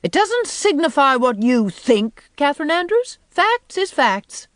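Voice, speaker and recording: A woman's voice: medium pitch, animated, moderate pace, dry recording, clean studio sound, pitched above the performer's own voice, lightly acted. A woman voicing a sour, gloomy spinster in her fifties, scornful and blunt, with folksy rural phrasing, in an audiobook. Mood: contemptuous. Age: 50s. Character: sour, contemptuous, gloom-loving 57-year-old spinster. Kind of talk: audiobook character voice.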